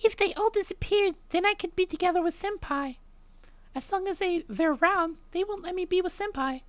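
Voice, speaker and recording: Very high-pitched obsessive anime schoolgirl. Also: fairly quick, no room sound. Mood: brooding.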